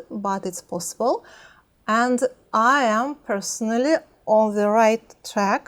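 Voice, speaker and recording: A woman with a Russian accent talking in a reflective personal vlog, hesitant, with many pauses and fillers. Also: mid-range voice. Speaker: language-learning vlogger.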